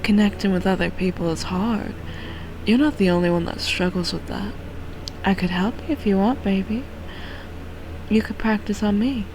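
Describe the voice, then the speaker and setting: A woman's voice: mid-range voice, normal speed, long pauses, background noise, close to an everyday voice. A woman voicing a flirtatious, confident beauty from a game in a coaxing, intimate manner. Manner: coaxing, seductive. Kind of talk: amateur game character voicing. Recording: music under the voice.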